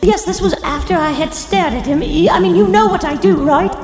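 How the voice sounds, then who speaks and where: A lonely, coy gorgon hostess for a tabletop game. The voice is very high and creaky, hesitant and theatrical, full of gossip and giggles. It rings in an echoing hall over music. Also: female voice, a little fast. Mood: wistful, coy. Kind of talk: improvised tabletop roleplay. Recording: echoey hall, music underneath.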